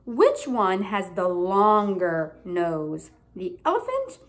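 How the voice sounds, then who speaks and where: A young woman reads a picture book aloud at an unhurried pace. Her pitch swings in a lively way as she stresses key words. She speaks like a teacher, pausing to put simple questions to a small child. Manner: deliberate, emphatic storybook phrasing, prompting with questions. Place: indoor room at home.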